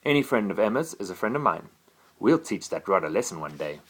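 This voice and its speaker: A man's voice: lowish voice, sing-song, a little fast, fairly bright tone, heavily acted. A man voicing a friendly magic dragon in a bedtime story, matter-of-fact and conspiratorial. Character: male magic dragon, friendly but eager to eat bullies.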